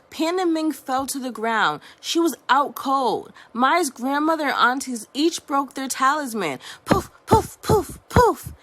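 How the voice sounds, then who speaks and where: Woman teacher, storybook narrator; soft hushed delivery, animated pitch. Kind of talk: storybook read-aloud.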